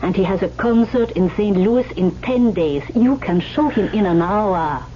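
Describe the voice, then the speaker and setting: An actress playing a commanding, world-weary manager of concert pianists, imperious yet coaxing, in an old-time radio drama. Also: female voice, mid-range voice, animated, unhurried, a little hiss or hum, natural voice. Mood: firm, insistent. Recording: dull, humming vintage radio broadcast.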